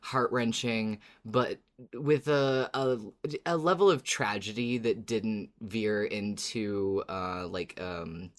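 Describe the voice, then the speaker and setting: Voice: medium pitch, takes it slowly. A podcast co-host chatting casually in a fan recap, effusive and dramatic, sometimes feeling out a thought mid-sentence.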